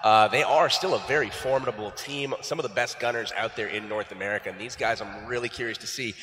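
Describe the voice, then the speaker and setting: A male esports caster talks fast with a bright edge, breaking down teams in an animated, analytical way. A long reverb trails his voice, as in a big hall. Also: fairly low pitch, a little hiss or hum. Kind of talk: esports desk commentary.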